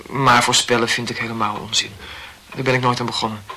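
A man's voice: mid-range voice, flat delivery, quick pace, pushed and bright, slight background noise, poor audio. An actor playing an awkward, earnest young man who talks hesitantly and corrects himself in a radio play.

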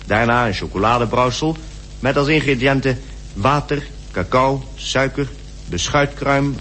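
A man's voice: medium pitch, a bit bright, slight background noise, close to an everyday voice. An actor playing a practical British polar expedition member, recounting his diary in a matter-of-fact, detailed way for a radio play. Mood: contented. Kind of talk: radio drama diary monologue.

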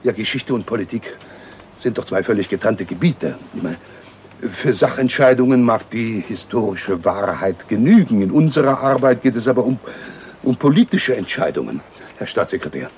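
A man playing an earnest, deferential state agent in a 1960s radio drama, formal and self-important, halting when nervous. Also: on the low side, lively intonation, darkish tone, close to an everyday voice. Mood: indignant, self-important. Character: earnest, deferential, loyal male state agent with pompous logic. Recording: noisy old radio recording.

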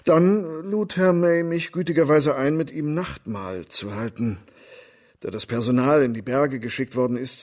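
A man in a radio drama playing an oily, lecherous doctor who talks smoothly and fawns on authority. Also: mid-range voice, darkish tone, lightly acted. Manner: smooth-talking, obsequious, formal.

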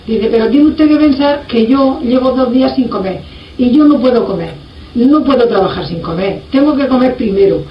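Female storyteller, fairly high voice, colloquial and animated, recorded in a reverberant room. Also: normal speed. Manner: earthy, animated retelling with repeated reported speech. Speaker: storyteller of a folk anecdote. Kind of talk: casual oral anecdote, colloquial. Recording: muffled, roomy, distant mic, faint noise.

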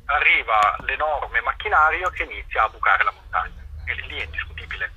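Man with a sharp, bright voice, halting delivery. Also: medium pitch, lively intonation, slightly rough, dull audio. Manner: halting, searching for words. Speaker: activist interviewee. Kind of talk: informal explanatory interview answer.

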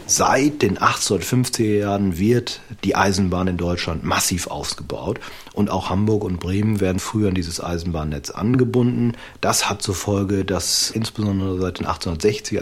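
A man explaining as an expert interviewee, in academic, deliberately phrased speech with a husky voice. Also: low-pitched.

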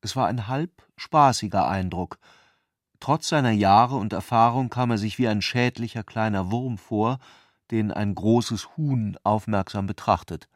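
A man with a low-pitched voice narrates a literary novel for radio. The sound is dry and close, with no room around the words. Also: a little slow, no echo.

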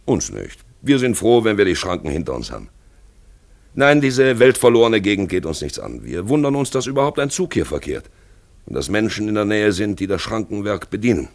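A man's voice: fairly low pitch, sing-song, fairly quick, gravelly. A man playing an eloquent narrator in a 1950s German radio play, addressing the listener directly in a dramatic, rhetorical way. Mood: brooding, confiding. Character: eloquent male narrator guiding the listener through a drab town.

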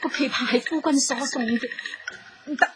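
A high, girlish-pitched young wife, acted by a woman, timid and anxious in Cantonese radio drama style. She thinks aloud in a hesitant, plaintive way over clear background noise. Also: steady tone, moderate pace, natural voice. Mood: alarmed.

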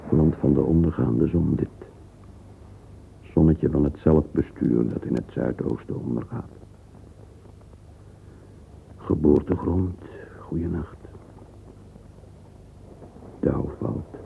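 An actor playing a wistful middle-aged man lost in thought, murmuring a halting interior monologue in a radio play. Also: rumbling low, vocal fry, exaggerated voice. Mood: musing. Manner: murmuring, halting, fragmentary musing, sometimes whispered. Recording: narrow-band lo-fi old radio recording, hissy.

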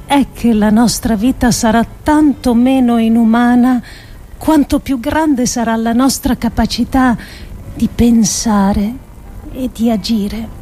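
An actress performing a theatrical monologue as a grave, idealistic political thinker, with deliberate, emphatic phrasing and dramatic pauses. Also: female voice, fairly high pitch, mellow. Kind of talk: theatrical scripted monologue adapted from philosophical essays.

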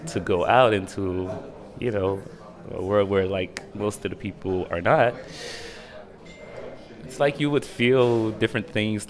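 A young man from Israel chatting casually as an interview guest, speaking in a hesitant, reflective, halting way. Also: on the low side. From Dimona, Israel. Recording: music playing behind the voice.

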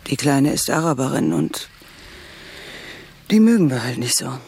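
A woman's voice: a bass voice, lively intonation, measured pace, warm tone, breathy edge, exaggerated voice. An actress playing a worried mother of three in a radio drama, speaking in an earnest, pleading way. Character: worried, beautiful middle-aged woman, mother of three. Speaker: narrator's cousin.

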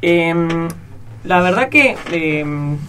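A woman's voice: lowish voice, expressive, drawn-out words, fairly bright tone, far from the mic, slight background noise. A woman, a primary school teacher, giving explanatory answers in an interview.

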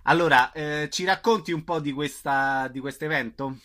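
A man's voice: on the high side, moderate pace, a bit bright. A man hosting a radio interview in a conversational way.

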